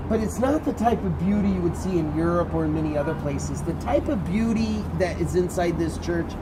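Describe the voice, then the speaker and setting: A male tour guide with a booming voice talks a group through a site, animated and conversational. He's recorded outdoors, with street and vehicle noise clearly audible around him. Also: a little fast.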